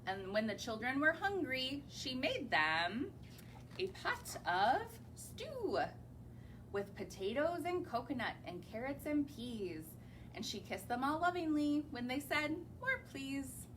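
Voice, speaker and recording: A woman's voice: sits high, very animated, slow, fairly bright tone, slight background noise. A young woman, a children's librarian, reading picture books aloud in a library in a sing-song way and asking the children questions. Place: public library.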